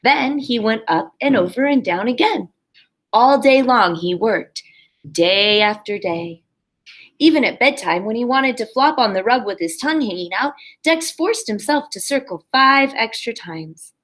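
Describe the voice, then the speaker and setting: A woman's voice: on the high side, lively intonation, unhurried, a bit bright. Woman teacher reading aloud to children. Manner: simple explanatory asides to children. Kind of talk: expressive picture-book read-aloud for young children.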